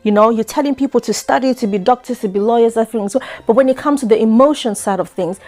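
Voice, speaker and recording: A woman's voice: on the high side, darkish tone. A middle-aged woman, a life coach hosting her own show, talking earnestly and often saying "you know". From Democratic Republic of Congo. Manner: earnest, repeats words, frequent "you know". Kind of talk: talk-show monologue.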